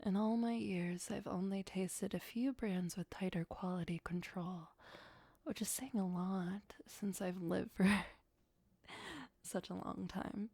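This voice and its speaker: A woman playing a possessive, doting vampire girlfriend in an ASMR roleplay, speaking softly and sweetly with breathy, often whispered delivery. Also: mid-range voice, slow pace, no room sound. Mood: musing, fond.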